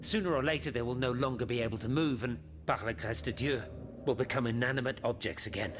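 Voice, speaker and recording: An actor playing an authoritative eighteenth-century statesman in a video game, formal and measured. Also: mid-range voice, natural voice. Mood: grave, resolute. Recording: music behind the voice.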